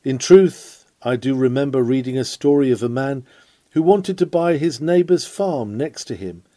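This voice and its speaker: A man's voice: medium pitch, normal speed, dark tone, dry recording. A man reading an old-fashioned sermon aloud in a solemn, earnest, oratorical manner. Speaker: reader of a historical sermon.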